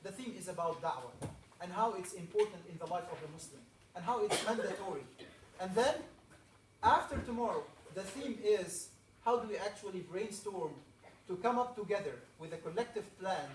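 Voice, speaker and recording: A man from Egypt opens a camp with an earnest, rallying talk, stacking up emphatic lists. His voice sits fairly high. He is heard from some distance in an echoey hall with faint background noise. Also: lively intonation, moderate pace. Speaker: camp speaker. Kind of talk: opening talk to a camp audience.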